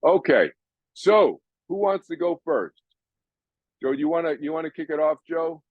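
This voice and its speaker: An older man from Massachusetts hosting an audience Q&A over a video call, chatty and animated, often restarting his sentences. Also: fairly high pitch, unhurried, dry recording, phone-quality sound. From Massachusetts, USA. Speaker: host answering audience questions.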